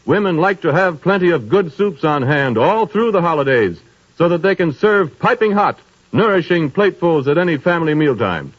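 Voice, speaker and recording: A man's voice: expressive, normal speed. A man announcing a radio drama and reading its sponsor's message in a formal, polished 1930s broadcast style. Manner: smooth, polished sponsor pitch. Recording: dull old radio broadcast with faint music.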